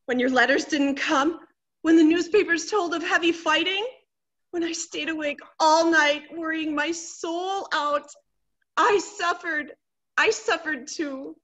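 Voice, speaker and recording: A woman's voice: very high-pitched, fairly even intonation, measured pace, fairly bright tone. A middle-aged woman playing an anxious, melodramatic wife in a stage comedy, fretful and exclaiming. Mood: anguished. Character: anxious, melodramatic wife awaiting soldier husband's return. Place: indoor room, recorded over video call.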